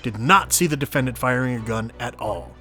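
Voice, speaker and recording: A man voicing a bold, confident young defense attorney in a game fan dub, forceful and declamatory. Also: mid-range voice, animated, fairly quick, soft background music. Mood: commanding. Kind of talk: video game fan voice acting.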